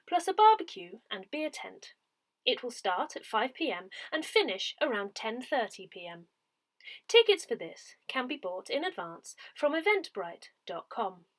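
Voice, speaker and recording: Woman reading aloud with lively pitch. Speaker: newsletter reader. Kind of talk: scripted read-aloud of a community newsletter.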